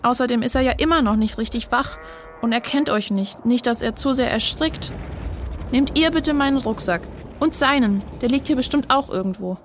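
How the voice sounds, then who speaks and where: Children's audio drama: woman plays caring, decisive big-sister girl, high voice, reassuring delivery, slight background noise. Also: normal speed, close to an everyday voice. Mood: resolute. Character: Fenja, caring determined young girl, protective big sister.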